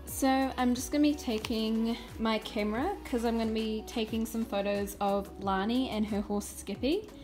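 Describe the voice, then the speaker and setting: A woman's voice: on the high side, steady tone, moderate pace, hardly any pauses, music in the background. A teenage girl with a New Zealand accent, chatting casually and informally as she vlogs on a phone held at arm's length. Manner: giggly, informal. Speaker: vlogger. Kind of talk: casual vlog chat. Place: rooms at home, then stable and paddock.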